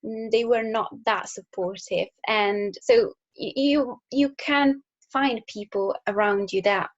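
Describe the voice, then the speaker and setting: Young Italian-accented woman, slightly high voice. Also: takes it slowly, dull audio. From Italy. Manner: casual, friendly, with fillers and restarts. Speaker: engineer. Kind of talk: recorded talk to school pupils.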